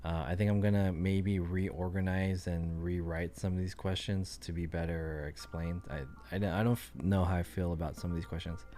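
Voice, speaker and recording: A man's voice: sits low, level tone, moderate pace, hardly any pauses, dark tone, slight background noise. A man chatting casually on his livestream, rambling and thinking aloud with lots of fillers. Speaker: streamer.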